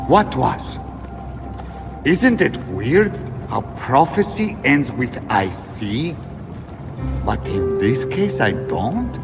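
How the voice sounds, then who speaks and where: Creaky, flippant comic seer. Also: male voice, medium pitch, very animated, slow, pauses often, over music. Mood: playful. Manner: playful, teasing, offhand. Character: flippant, eccentric, wisecracking seer. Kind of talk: comic video game acting.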